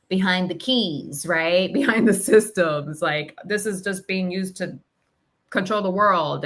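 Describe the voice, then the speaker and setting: A woman speaks in a casual, reflective conversation with a clear brightness to her tone. Her pace is very slow and halting, as she searches for her words, and her pitch sits a little below average. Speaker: podcast host sharing personal reflections.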